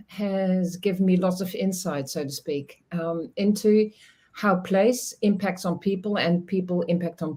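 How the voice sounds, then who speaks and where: A woman from the Netherlands whose first language is Dutch speaks in a lowish voice. She is middle-aged and talks on a video call. She is reflective and chatty, laughs often and restarts her sentences. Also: mellow. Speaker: guest. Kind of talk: casual interview chat.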